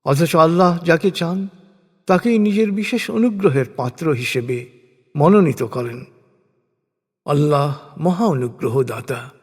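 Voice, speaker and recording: Man, fairly high voice, solemn scripture narration, measured formal phrasing, falling sentence endings, long hall reverb. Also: animated, a little mellow, husky.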